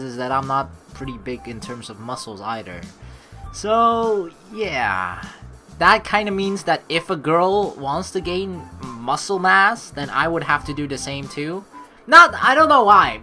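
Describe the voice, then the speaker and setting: Animated, bright-voiced woman doing a let's play. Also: low-pitched. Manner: animated, jokey, rambling. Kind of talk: playthrough reading aloud with casual commentary. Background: game music.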